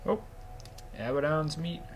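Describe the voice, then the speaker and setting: A young man with a starting cold, a bit sniffly, chatting easygoingly as he hosts a game playthrough from a small quiet closet. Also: on the high side, unhurried. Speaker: let's play host. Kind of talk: casual gameplay commentary. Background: faint swing game music.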